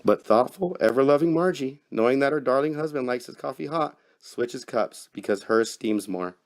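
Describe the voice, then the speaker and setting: A man's voice: mid-range voice, lively intonation, normal speed. A man narrating a horror tale in a theatrical, wry storytelling style. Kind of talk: dramatic scripted horror storytelling.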